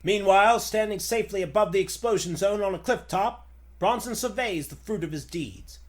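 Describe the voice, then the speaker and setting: A man's voice: high-pitched, normal speed. A man narrating dramatically in the style of a 1940s radio serial.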